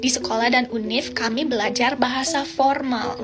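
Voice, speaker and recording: A woman's voice: on the high side, steady tone, normal speed, over music. A young woman from Australia chatting casually and fluently as a vlogger. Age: young adult. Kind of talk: casual vlog explanation.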